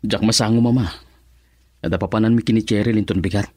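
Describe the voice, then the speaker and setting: Husky low-voiced young lover. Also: male voice, fairly even intonation, quick pace, warm tone, no echo. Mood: reluctant. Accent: Filipino. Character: lovestruck, defiant grown son. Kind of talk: Filipino radio drama acting.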